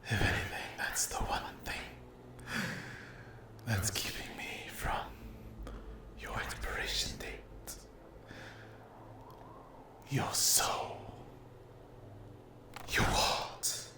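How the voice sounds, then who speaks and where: A man voicing a cruel, mocking sleep paralysis demon in a whispered ASMR horror roleplay, taunting the listener with menace and mock-sweet endearments in a breathy, gravelly voice. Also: lowish voice, drawn-out words, long pauses. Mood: hungry, obsessive.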